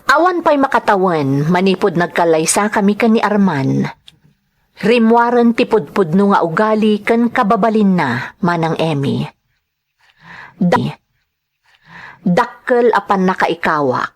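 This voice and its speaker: A woman's voice: medium pitch, sing-song, measured pace, long pauses. A woman with an Ilocano accent playing an earnest, hurt young wife in an audio drama. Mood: sorrowful recounting.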